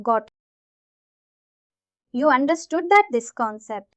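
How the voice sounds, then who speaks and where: A woman's voice: sits high, lively intonation, slow, no room sound, muffled recording. A woman trainer explaining a concept to learners step by step, repeating herself and checking that they follow. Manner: repetitive, checks understanding often. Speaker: software trainer.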